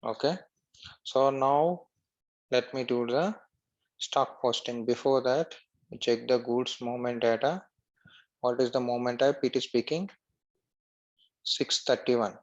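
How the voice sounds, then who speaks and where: A man narrating a screen-share software tutorial step by step as an instructor. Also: medium pitch, fairly even intonation, measured pace, long pauses, lo-fi sound.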